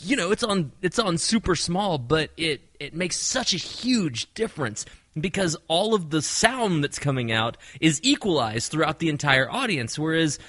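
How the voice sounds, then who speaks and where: A man co-hosting a podcast, chatting casually as a performer, animated and rambling as he tells stories. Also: fairly high pitch, moderate pace, dry recording. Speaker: podcast co-host. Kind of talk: casual chat.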